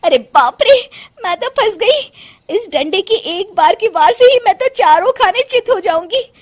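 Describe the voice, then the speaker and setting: Woman acting a very high, panicky young bride. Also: lively intonation, measured pace. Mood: panicked. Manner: panicky, whining, pleading. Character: scheming lazy young daughter-in-law, cowardly when caught. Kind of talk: comic story dialogue.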